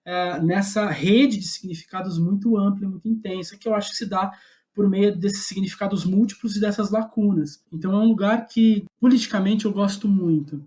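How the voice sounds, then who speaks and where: A man's voice: high voice, a little fast, mellow. A man with a Brazilian accent speaking reflectively as an interview guest, tentative and searching for his words.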